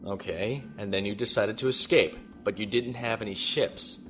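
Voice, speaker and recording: Man acting a measured, decisive starship captain. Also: fairly low pitch, fairly bright tone, faint music behind, natural voice. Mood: analytical. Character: male starship captain, calm, decisive, shrewd tactician. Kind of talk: science fiction audio drama acting.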